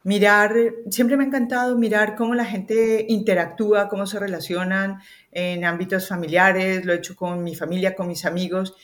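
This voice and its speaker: A woman's voice: medium pitch, fairly even intonation, normal speed, hardly any pauses. Colombian woman explaining as a guest. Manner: articulate, explanatory, with hesitant fillers. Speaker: guest, communication coach. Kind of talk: conversational podcast interview.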